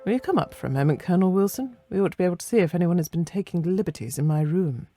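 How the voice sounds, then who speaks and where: A woman voicing a fussy, puzzled scholarly gentleman in a storybook, prim and hesitant in manner. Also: on the low side, lively intonation, racing, soft background music. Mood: concerned.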